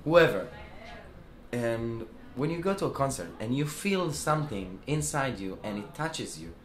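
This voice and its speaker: A man's voice: medium pitch, expressive, a little slow, roomy sound, a little hiss or hum. A man with an Israeli accent, a singer talking in a casual interview, hesitant with many fillers and restarts. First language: Hebrew. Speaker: singer and band founder. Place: music club after a show.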